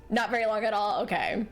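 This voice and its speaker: A young woman from rural New York, chatting casually and rambling with lots of fillers while streaming a game. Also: mid-range voice. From rural New York, USA. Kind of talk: casual chat while gaming. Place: home, living in Japan.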